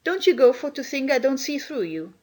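A woman's voice: high-pitched, sing-song, fairly quick, darkish tone, pitched above the performer's own voice. A woman voicing a grumpy, suspicious housemaid in a children's storybook, blunt and scolding in manner. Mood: cross, suspicious. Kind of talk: acted children's storybook reading.

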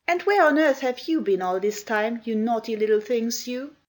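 A woman voicing a stern, sharp-tongued woman servant who scolds children. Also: on the high side, lively intonation, normal speed, pitched above the performer's own voice. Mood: scolding.

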